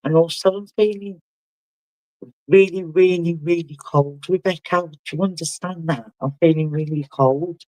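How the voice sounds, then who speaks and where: A man working as a spiritual medium, giving a live reading in a halting way and often asking the sitter whether it makes sense. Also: fairly high pitch, a little mellow, no echo, low-quality recording. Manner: halting, relays messages and keeps asking the sitter to confirm.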